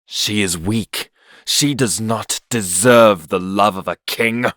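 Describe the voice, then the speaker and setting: A man's voice: on the low side, takes it slowly, fairly bright tone, no room sound, clean studio sound. A man playing a cold, proud, bitter prince in a theatrical, menacing audio drama style. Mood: contemptuous.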